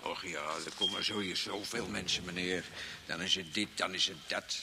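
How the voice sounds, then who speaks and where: A man's voice: low-pitched, steady tone, normal speed, heavy background noise. An actor playing a deferential, grumbling front-desk policeman in a radio play, speaking in a colloquial, rambling way. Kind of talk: Dutch radio drama acting.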